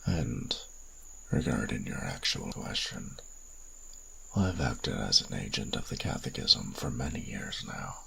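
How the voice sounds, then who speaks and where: Very deep, gravelly vampire priest. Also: male voice, big pitch swings, bright, forceful tone, full character voice, rough recording. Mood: cool, formal. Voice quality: raspy, gravelly, breathy low voice. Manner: measured, formal, archaic phrasing. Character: formal vampire priest, male agent of a church, stern and condescending. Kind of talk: scripted audio roleplay drama.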